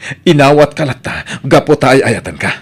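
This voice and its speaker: A weary, wronged husband and father played by a male actor in an Ilocano radio drama. His voice is husky and mid-pitched, with an Ilocano accent. He speaks with open emotion and a pleading edge. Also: animated, normal speed. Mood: hurt, angry.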